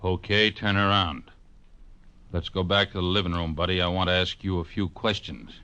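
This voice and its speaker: An actor playing a menacing fat gunman with a thick, soft voice and curt, bullying manner in an old radio crime drama. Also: deep voice, fairly even intonation, moderate pace, clear, resonant tone, highs cut off. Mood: threatening. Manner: curt, bullying tough talk.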